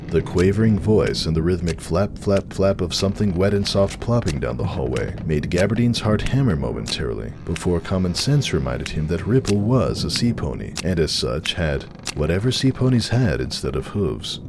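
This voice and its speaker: A man's voice: low voice, big pitch swings, moderate pace, few pauses. A man narrating a story dramatically from a script. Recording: clearly noisy, storm ambience behind.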